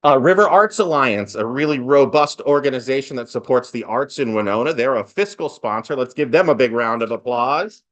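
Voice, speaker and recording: A man's voice: mid-range voice, animated, a little fast, few pauses. A man speaking as host of a live event, giving a part-scripted welcome speech with lightly joking asides. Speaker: event host, master of ceremonies.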